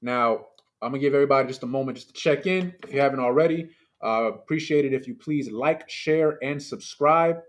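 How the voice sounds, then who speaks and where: A man delivering a casual sermon over a livestream, talking alone into his mic. His pitch sits in an ordinary middle range. He speaks loosely and chattily, full of asides, and stresses his points with rhetorical questions aimed at the people watching. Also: fairly quick. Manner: conversational and emphatic, with rhetorical questions. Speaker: preacher leading a livestreamed service. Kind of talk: informal streamed sermon.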